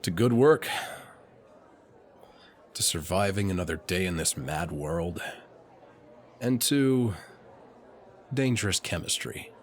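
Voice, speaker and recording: Guy doing a smooth flirty anime army officer, deep and slow, teasing, intimate roleplay audio. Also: lively intonation. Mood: suave, flirtatious. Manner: smooth, unhurried, playfully teasing. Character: suave, teasing, flirtatious military officer and alchemist.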